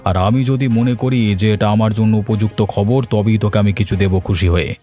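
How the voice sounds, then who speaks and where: A man's voice: lowish voice, brisk, dark tone, faint music behind, close to an everyday voice. An actor playing a stern, greedy village headman in a cartoon, commanding and threatening. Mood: gruff, impatient. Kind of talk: Bengali cartoon voice acting.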